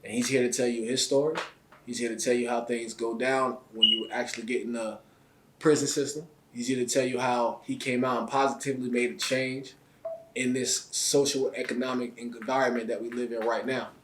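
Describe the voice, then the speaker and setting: A man hosting a casual interview with a relaxed mid-range voice, sitting a little back from the mic. The room rings around him and there is a faint hiss underneath. He talks in loose street slang and hypes up his guest. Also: medium pitch, brisk. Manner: loud hyped opener, then relaxed street slang. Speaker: interview show host. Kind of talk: casual hip-hop interview intro and questions. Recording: roomy, slightly distant mic with faint noise.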